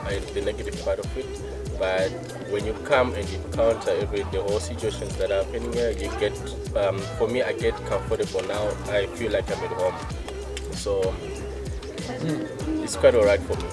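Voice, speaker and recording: A man from Zimbabwe, interviewed outdoors on a trail, speaking in a relaxed, agreeable way. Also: fairly low pitch, normal speed, over loud music. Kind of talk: casual interview.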